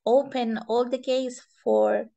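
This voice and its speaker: A woman from Chile with a Chilean Spanish accent, giving interview answers in halting non-native English and searching for words. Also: on the high side, fairly even intonation, creaky, mellow, highs cut off. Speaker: interview guest, economist and feminist.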